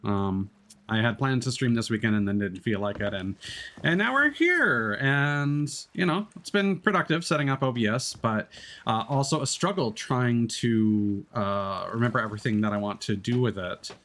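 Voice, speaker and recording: A man's voice: medium pitch, animated, moderate pace, a bit bright. A young man streaming from home, chatting casually with viewers, rambling through fillers and restarts and swearing freely. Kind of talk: casual live-stream chat.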